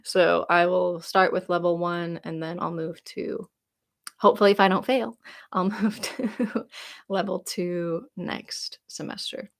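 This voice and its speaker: A woman's voice: on the low side, fairly even intonation, measured pace, vocal fry. A woman in her late twenties answering viewer comments on a live stream, chatty and rambling, with lots of "like" fillers and easy laughter. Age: 20s. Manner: laughs easily while reading comments aloud. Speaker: YouTuber answering viewer questions. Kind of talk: casual live-stream Q&A chat.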